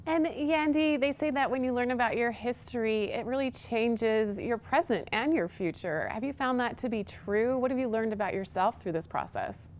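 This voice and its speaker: A woman interviewing guests on television, asking measured, upbeat questions. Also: a little high, a little mellow.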